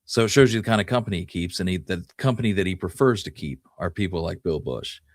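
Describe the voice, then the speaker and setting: Male podcast storyteller: deep register, informal halting delivery, dry recording. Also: lively intonation, dry recording. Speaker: podcast host. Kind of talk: conversational storytelling of Western history.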